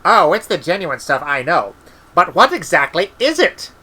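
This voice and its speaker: A man voicing a senior wizard in a storybook, earnest, weary and muddled, halting as he searches for words. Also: a little high, sing-song, pushed and bright, slightly rough, pitched above the performer's own voice, heavily acted. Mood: defensive.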